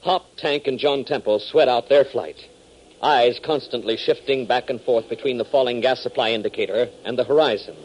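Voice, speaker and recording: A man's voice: medium pitch, moderate pace, a little mellow, husky. Dramatic 1940s radio narrator, American man. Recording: faintly noisy 1940s radio recording.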